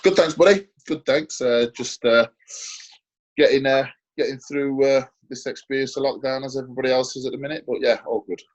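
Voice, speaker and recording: A man's voice: mid-range voice, brisk, lo-fi sound. A man in his forties with a Sheffield accent, answering interview questions hesitantly, with lots of fillers and restarts. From Sheffield, England. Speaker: interview guest, former footballer.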